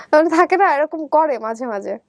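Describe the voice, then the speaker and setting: High-pitched young Bangladeshi woman. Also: no room sound, clean studio sound. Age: young adult. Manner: conversational, often re-enacts quoted dialogue. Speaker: guest telling her life story. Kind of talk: personal storytelling in an interview.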